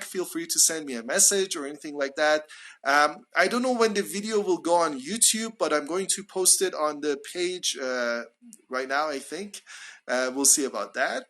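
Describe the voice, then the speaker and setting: Bright-voiced man teaching live. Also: fairly high pitch. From Canada. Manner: friendly, chatty, many uh fillers. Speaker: English teacher. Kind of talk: live-streamed English lesson. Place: small room indoors at home. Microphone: phone at arm's length.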